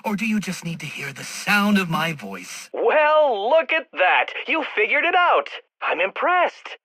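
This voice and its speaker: Video game villain: superior, taunting intellectual, piercingly bright edge, highly animated delivery, sarcastic and pompous. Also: male voice, moderate pace, slightly rough, dry recording, exaggerated voice. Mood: incredulous, irritated. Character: smug, condescending male genius villain, taunting and superior.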